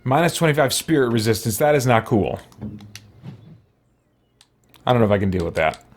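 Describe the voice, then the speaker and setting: A man hosts a casual video game playthrough in a lively, varied voice at a middling pitch. He thinks aloud as he goes, dropping muttered asides and small exclamations. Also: moderate pace. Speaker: gaming video host. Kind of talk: casual let's play commentary.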